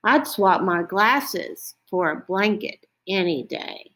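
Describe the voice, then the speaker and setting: A woman's voice: mid-range voice, expressive, slow, a bit bright. A woman voicing a politely complaining storybook owl with exaggerated read-aloud emphasis. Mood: polite complaint. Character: wakeful owl wearing glasses, politely complaining. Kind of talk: storybook character voice.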